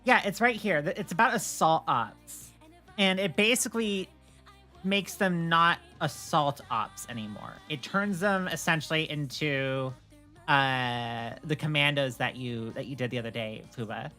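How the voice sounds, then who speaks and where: Woman, streamer, slightly low pitch, casual livestream chat, stammering restarts, faint background music. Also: a little slow, sharp tone. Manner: stammering, repeats words and restarts. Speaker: streamer and game server admin.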